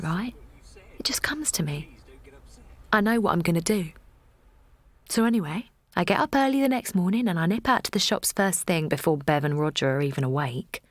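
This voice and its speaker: An actress playing a young mother who tells her story in a confiding, rambling way, with soft hushed asides. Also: lowish voice, no echo, studio quality. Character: chatty, self-effacing young mum. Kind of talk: audio drama monologue.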